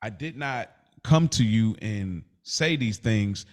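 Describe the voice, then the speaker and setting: A man's voice: fairly low pitch, expressive, takes it slowly. A man co-hosting a podcast in casual debate, explaining himself and restating his point to clarify it. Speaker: podcast co-host.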